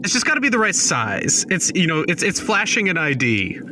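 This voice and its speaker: A man's voice: high voice, very animated, fairly quick. A man playing a tabletop role-playing game, chatting casually as he improvises. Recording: clear background noise, room ambience.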